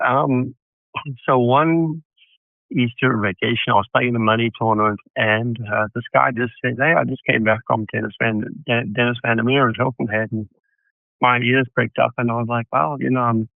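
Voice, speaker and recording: A man's voice: mid-range voice, steady tone, moderate pace, gravelly, dry recording. A man from South Africa, a tennis coach guest on a podcast, telling long stories about his start in the sport with restarts and asides. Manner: rambling storyteller with restarts. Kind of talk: casual interview answers. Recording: tinny phone-like line, dry.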